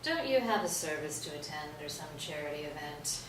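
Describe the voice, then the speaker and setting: A woman's voice: sits low, expressive, fairly quick. An actress playing a sardonic, exasperated woman playwright in a staged play reading. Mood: sarcastic. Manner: sarcastic, exasperated. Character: tense, sardonic New York woman playwright. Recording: roomy, distant stage recording, faint noise.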